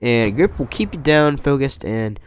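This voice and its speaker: A man's voice: medium pitch, lively intonation, normal speed, slight background noise. A young man with a cold, sounding stuffed up, giving casual, rambling gameplay commentary and correcting himself as he goes. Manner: rambling, self-correcting, upbeat. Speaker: gaming commentator.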